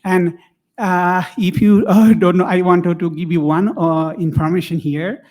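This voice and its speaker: A man's voice: sits high, fairly even intonation, measured pace, a little mellow. A man from Bangladesh presenting research at a conference, talking to the room with many fillers and a friendly manner. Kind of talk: academic conference talk.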